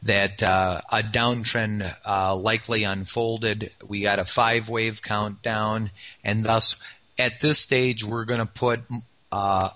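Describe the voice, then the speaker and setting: A man with a hoarse, raspy voice explains market wave scenarios at an unhurried pace. His tone stays bright and a touch low, broken up by frequent halting pauses. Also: little pitch movement, dull audio. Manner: halting, many filler uhs. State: raspy voice after yelling. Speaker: market analyst co-host. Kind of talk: market analysis talk.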